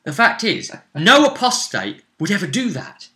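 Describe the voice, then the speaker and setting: Lively, bright-voiced man recapping a game. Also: high voice, moderate pace. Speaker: game master recapping a tabletop campaign. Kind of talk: casual chat recapping a role-playing game session.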